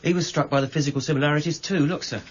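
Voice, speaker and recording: An earnest, deferential male police sergeant in a radio crime drama, played by an actor. His voice sits a little high for a man, tentative and plain-spoken but persistent. The sound is slightly dull with a faint layer of noise. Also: fairly even intonation, very fast, close to an everyday voice. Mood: earnest, respectful.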